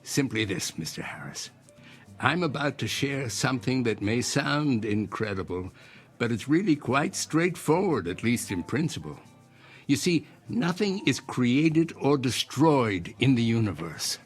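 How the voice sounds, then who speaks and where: An actor playing an eccentric older inventor in an audio drama, speaking in a formal, measured, philosophical and persuasive way. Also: male voice, mid-range voice, very animated, husky, soft background music. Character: eccentric old inventor, courteous, cryptic, philosophical stranger.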